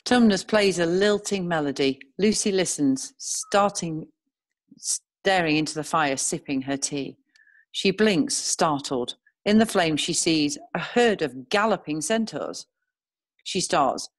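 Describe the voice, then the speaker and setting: A woman narrating screenplay stage directions in a measured, descriptive reading style. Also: sits low, dry recording.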